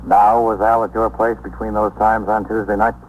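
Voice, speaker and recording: An actor playing a firm, businesslike police lieutenant in a 1950s radio drama. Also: male voice, on the low side, flat delivery, close to an everyday voice. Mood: stern, controlled. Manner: stern, measured, authoritative. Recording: muffled, noisy 1950s radio recording.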